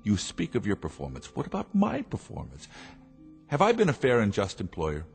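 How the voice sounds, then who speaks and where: An actor playing a calm, persuasive inventor and master of the house in a radio drama, speaking in a measured, formal way. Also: male voice, lowish voice, creaky, soft background music, full character voice. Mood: insistent.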